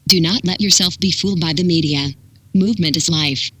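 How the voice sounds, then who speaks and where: A synthetic text-to-speech voice reading a scripted public warning in an impersonal, measured manner with clipped instructions. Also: medium pitch, fairly bright tone, no room sound. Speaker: emergency broadcast announcer.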